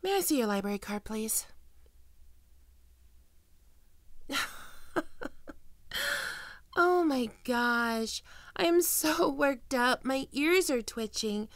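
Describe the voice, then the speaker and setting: A young woman playing a high-energy, teasing fox-woman librarian in an expressive roleplay performance addressed to the listener. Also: slow pace, long pauses, no room sound, exaggerated voice. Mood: flustered, apologetic.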